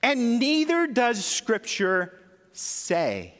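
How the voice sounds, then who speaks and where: A man's voice: very high-pitched, huge pitch swings, very slow, fairly bright tone, long reverb tail. A man preaching a sermon as pastor, talking conversationally and putting questions to his congregation.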